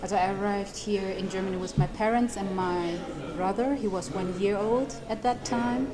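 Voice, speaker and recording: A woman in her thirties from Eritrea whose first language is Tigrinya, answering interview questions with many pauses and ums. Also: mid-range voice, crowd noise. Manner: hesitant, with many ums and restarts, laughing lightly. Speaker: lawyer and human rights advocate.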